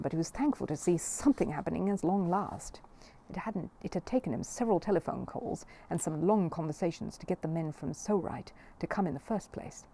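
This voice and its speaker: A woman reading a children's story aloud in an expressive narrating style. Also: on the low side. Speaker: storybook reader.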